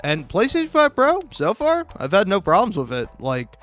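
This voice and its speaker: A man with a slightly high voice talks like a YouTuber rambling over a game. He trips over and repeats his words, swears freely and puts himself down, with faint music underneath. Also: wildly swooping pitch, moderate pace, a bit bright. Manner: stammering, repeats words and restarts often; profane, self-deprecating. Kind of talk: casual rambling gaming commentary.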